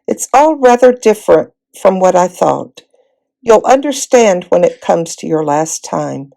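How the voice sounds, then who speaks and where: A woman voicing a steady, solemn older-brother boy in a storybook, earnest and reassuring. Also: fairly low pitch, measured pace, gravelly, heavily acted, poor audio.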